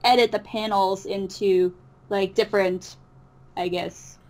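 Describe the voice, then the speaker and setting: A woman's voice: mid-range voice, steady tone, unhurried, darkish tone. A woman chatting casually as an interview guest, with a giggly, hesitant way of talking. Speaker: interview guest, voice actor who makes comic dubs. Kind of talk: casual chat.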